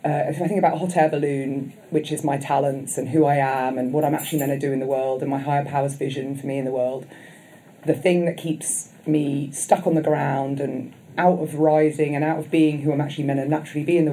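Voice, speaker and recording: Woman husky, low, mellow voice, loud on the mic, halting reflective share. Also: flat delivery, rough recording. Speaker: guest speaker at a recovery convention. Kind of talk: personal recovery share. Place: convention session room. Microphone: microphone.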